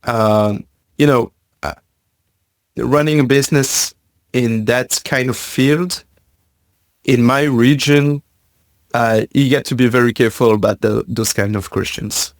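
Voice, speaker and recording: A man from Belgium speaks as a podcast guest, thinking out loud and circling back over his words with many small pauses and fillers. His voice sits at an ordinary middle pitch. The recording is dry and close, with no room sound. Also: no room sound. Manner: thoughtful, halting, frequent fillers and repeated words. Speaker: podcast guest, science communicator. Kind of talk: conversational interview answer.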